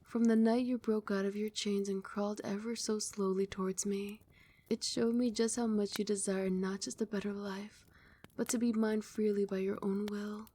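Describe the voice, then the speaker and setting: A woman voicing a doting, possessive female vampire queen in an ASMR roleplay, speaking in a soft, soothing whisper close to the mic. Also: medium pitch, flat delivery, moderate pace, lightly acted. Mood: tender.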